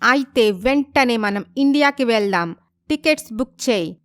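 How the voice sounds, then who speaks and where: A woman acts out a demanding young wife in a dramatic audio story. She is bubbly and bossy, with a high, crisp voice and English phrases mixed in. The sound is dry. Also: animated, normal speed, no room sound, lightly acted. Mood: demanding, annoyed.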